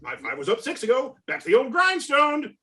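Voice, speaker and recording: A man's voice: very high voice, wildly swooping pitch, normal speed, sharp tone, full character voice. A man playing a chipper, self-important snack company coworker in a comedic play reading, chirpy and effusive.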